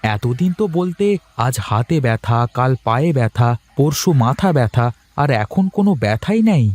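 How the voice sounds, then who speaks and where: A man's voice: mid-range voice, expressive, moderate pace, a little mellow, lightly acted. A man voicing an affectionate young cartoon husband and dutiful son, playful and earnest in his delivery. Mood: teasing. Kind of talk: cartoon voice acting.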